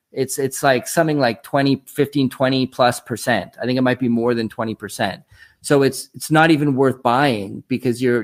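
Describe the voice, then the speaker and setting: A man with a Canadian accent speaks as a guest in a casual interview. He is talkative and explains things at length in an everyday conversational way, with a mid-pitched voice. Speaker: interview guest, online commodities show host.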